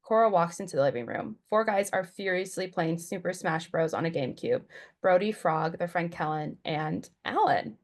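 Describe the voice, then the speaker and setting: Woman narrating script directions at a table read, slightly low voice. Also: lively intonation, normal speed. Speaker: stage directions reader.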